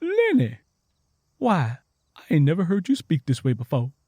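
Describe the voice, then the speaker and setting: An eager young Southern woman played by a male narrator in an audio story. Her pitch sits high and swings widely as she speaks in an earnest, folksy drawl, recorded close and dry. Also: moderate pace, slightly rough, no echo, heavily acted. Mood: defensive, indignant. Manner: excited, earnest, folksy dialect.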